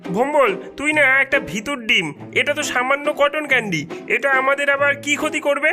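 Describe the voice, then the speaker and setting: Woman playing a high-voiced greedy cartoon boy. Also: normal speed, bright, forceful tone, faint music behind. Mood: excited, dismissive. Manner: eager, munching, dismissive. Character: greedy, carefree young boy mad for sweets. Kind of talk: cartoon voice acting.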